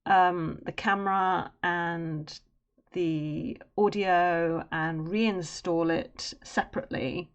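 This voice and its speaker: Woman, deep-ish voice, rambling stream chatter, muffled lo-fi audio. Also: steady tone, slow pace. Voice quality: naturally low voice. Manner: chatty and rambling, drifting into murmurs and humming while she works. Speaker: streamer and artist. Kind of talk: casual live-stream chat while drawing.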